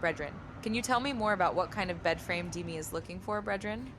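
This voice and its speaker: A low-pitched synthetic woman's voice reads chat messages aloud, flat and overly formal like a chatbot. A steady hiss of background noise runs under it. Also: fast talker. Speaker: chatbot voice reading viewer messages. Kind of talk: text-to-speech reading of chat and replies.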